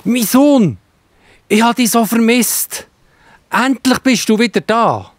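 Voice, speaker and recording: A man's voice: very high voice, expressive, takes it slowly, dry recording, full character voice. A man playing a loving, forgiving father in a Swiss German children's Bible radio play, heartfelt and joyful. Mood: overjoyed, relieved.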